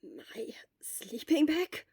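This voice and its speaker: A woman whispering as an exhausted young man, sore and short-tempered. His lines come as breathy, hushed whispers, in a dry, close storybook reading. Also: high voice, lively intonation, very slow, no room sound, voice pushed up, full character voice. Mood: disbelieving.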